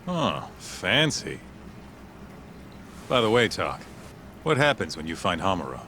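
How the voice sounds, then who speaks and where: A man's voice: deep voice, sing-song, measured pace, sharp tone, slight background noise, exaggerated voice. An actor playing a brash, easygoing tough-guy sidekick in a video game, talking in casual slang. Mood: casual, curious. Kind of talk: video game cutscene acting.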